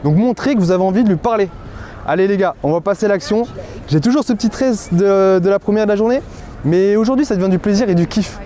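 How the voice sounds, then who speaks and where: A young man vlogging on a city street, energetic and slangy, stumbling over his words now and then. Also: high voice, quick pace. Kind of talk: street pickup vlog. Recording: busy street crowd behind.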